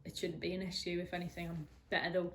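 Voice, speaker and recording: Young woman, low-pitched, hesitant and candid, personal interview, faint background hiss. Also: flat delivery, fast talker, a bit bright. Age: 20s. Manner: hesitant, lots of restarts and ums. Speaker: interviewee sharing a personal story.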